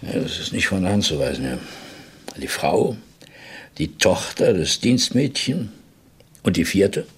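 Police commissioner in radio crime drama: probing, sceptical, husky, very varied intonation, hesitant questioning. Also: male voice, low-pitched, big pitch swings, exaggerated voice. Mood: sceptical, musing. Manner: questioning, echoes others' words, hesitant pauses. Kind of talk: radio play acting.

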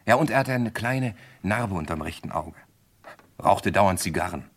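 An uneasy bridegroom in a radio drama. His voice is low and subdued, and he speaks hesitantly. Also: animated, normal speed. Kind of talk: German crime radio play acting.

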